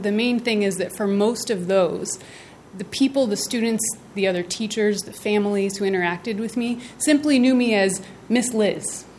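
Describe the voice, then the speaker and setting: A woman's voice: medium pitch, a little hiss or hum. A woman with a mid-range voice delivers a prepared address to a school board. She sounds composed and personable as she speaks as a school business officer.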